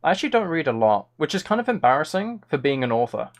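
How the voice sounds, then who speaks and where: Young male streamer, rambling and self-deprecating, with a bouncy, animated delivery. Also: fairly high pitch, fast talker. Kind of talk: casual live-stream chat while gaming.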